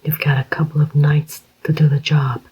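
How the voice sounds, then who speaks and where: A reader whispering the part of a calm, scheming male club owner in a slow, even voice. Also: a little high, slightly rough. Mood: cool. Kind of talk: whispered audiobook reading. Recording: dull lo-fi recording.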